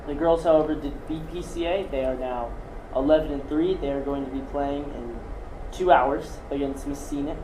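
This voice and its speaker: A man's voice: fairly high pitch, steady tone, normal speed. A teenage male student representative giving a sports report at a school board meeting, correcting himself as he goes. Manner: corrects himself mid-sentence. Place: meeting room. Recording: echoey room, noise.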